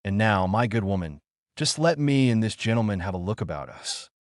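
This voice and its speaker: A man's voice: on the low side, lively intonation, fairly quick, dry recording, clean studio sound, lightly acted. A man voicing a confident, gleeful police inspector in a noir audiobook story. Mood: brisk, condescending.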